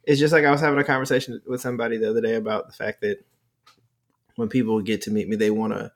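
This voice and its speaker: A man's voice: fairly low pitch, little pitch movement, quick pace. A man co-hosting a casual podcast chat, talking in a blunt, dry and unbothered way.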